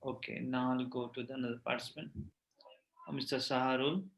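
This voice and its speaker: A man with a gravelly, creaky voice leads an online class, moving from one participant to the next. He thanks people briefly and repeatedly. A faint hiss sits under his voice. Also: medium pitch, little pitch movement, fairly quick. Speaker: workshop trainer.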